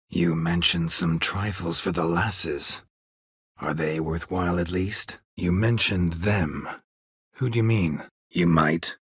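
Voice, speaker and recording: An actor playing a gruff, weary, sardonic monster hunter for hire in a video game, with terse, deadpan delivery and a low gravelly voice. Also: male voice, dry recording, full character voice. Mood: matter-of-fact, wry. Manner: terse, dry, deadpan, clipped sentences. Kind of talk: video game character dialogue.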